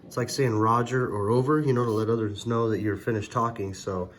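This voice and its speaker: A man's voice: mid-range voice, fairly even intonation, a little fast. A man giving a casual, hands-on gadget tutorial, explaining step by step in a hesitant way. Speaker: product reviewer, presenter. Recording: very noisy, electronic beeps and clicks.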